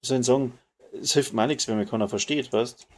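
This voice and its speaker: A man from Bavaria with a Bavarian accent, chatting casually and colloquially as he streams a video game, softening his dialect toward standard German. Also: medium pitch, quick pace. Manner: colloquial, dialect-tinged, mild swearing. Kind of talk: casual chat while gaming.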